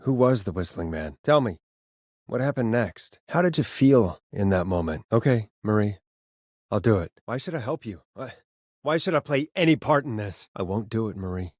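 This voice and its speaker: A man's voice: lowish voice, lively intonation, moderate pace, darkish tone, no room sound, studio quality. A man acting an earnest, wry late-night radio DJ caught in a crisis in a video game, talking conversationally and sometimes hesitantly. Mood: stern, accusing. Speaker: radio host.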